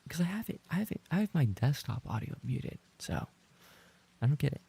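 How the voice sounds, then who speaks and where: A man chatting casually as a livestreamer, rambling and trading playful banter with his viewers, in a gravelly, husky voice. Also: mid-range voice, very animated, fairly quick, dark tone. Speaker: livestreamer and ASMR voice actor reading chat.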